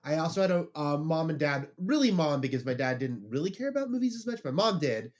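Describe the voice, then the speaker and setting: A man's voice: on the high side, lively intonation, fast. A man in his forties with a Valley accent, a podcast guest talking in casual chat, nasal and congested from a cold. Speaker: podcast guest, entertainment journalist.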